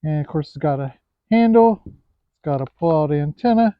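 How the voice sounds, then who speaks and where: A man giving a casual, unscripted product review in a hesitant, pausing way as he looks things over. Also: fairly high pitch, animated, dry recording. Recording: thin, phone-like, band-limited sound.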